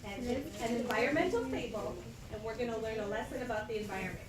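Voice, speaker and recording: A woman teacher doing an expressive read-aloud for her class, stopping to give them prompts. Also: a little high, fast, a loud crowd. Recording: echoey classroom from far off.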